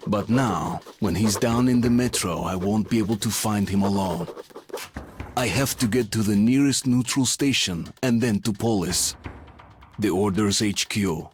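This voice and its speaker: An actor playing a serious soldier who narrates his mission in measured, reflective tones in a video game. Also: on the low side, a little hiss or hum, lightly acted. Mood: reflective, sombre. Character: sombre soldier hero recounting his mission.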